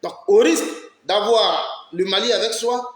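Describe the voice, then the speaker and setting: A man's voice: high voice, a little slow, a bit bright. A man from Ivory Coast, giving impassioned political commentary on his own channel, emphatic and fond of rhetorical questions. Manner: emphatic, rhetorical questions, urges listeners to listen. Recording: roomy echo.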